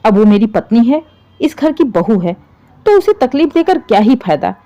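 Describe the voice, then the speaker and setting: An earnest young husband in a domestic story, voiced by a woman narrator. He reasons and pleads protectively with his mother. The voice is mellow, with animated pitch. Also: normal speed, lo-fi sound. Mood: pleading.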